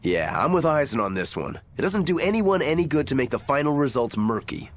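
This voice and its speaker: A man's voice: medium pitch, very animated, fairly quick. A man playing a casual, competitive, easygoing fighter in a video game. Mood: keen, easygoing.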